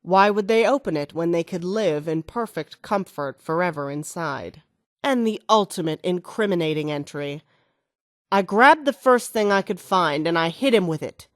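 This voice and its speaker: Woman voicing ambitious female game politician, lowish pitch, dry studio sound, oratorical, self-assured, scheming. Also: animated, normal speed, no room sound, natural voice. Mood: dramatic recitation. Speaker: video game voice actor. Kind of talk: video game dialogue.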